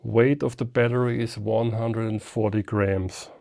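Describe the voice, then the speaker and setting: A man presenting a casual, unscripted product unboxing, thinking aloud haltingly as he handles things. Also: fairly low pitch, fairly even intonation, gravelly, darkish tone. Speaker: amateur radio video host.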